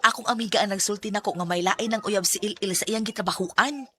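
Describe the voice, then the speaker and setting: A woman's voice: medium pitch, quick pace, natural voice. A woman playing a gossipy, teasing but supportive young best friend in a sentimental radio drama, with a Filipino Bisaya accent. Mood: concerned.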